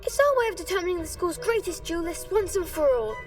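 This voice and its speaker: A young schoolboy organizing duels in a fantasy game. The voice is extremely high, eager and self-assured, like an articulate little showman. It is slightly off the mic with music clearly playing behind. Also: lively intonation, fast talker, distant mic. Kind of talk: video game character acting.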